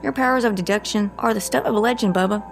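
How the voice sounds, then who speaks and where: A woman's voice: mid-range voice, quick pace, vocal fry, soft background music, natural voice. An actress playing a wry, guarded psychic woman in an audio drama, with a dry, teasing, sarcastic manner. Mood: mock indignant. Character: wry, guarded psychic woman who teases her old friend. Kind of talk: scripted audio drama dialogue.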